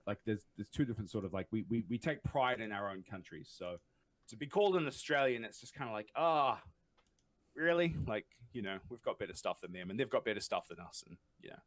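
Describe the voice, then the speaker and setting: New Zealand guy on a podcast, low and animated, restarts a lot. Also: a little fast. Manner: rambling, many restarts, laughs often. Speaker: podcast guest. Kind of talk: casual podcast chat.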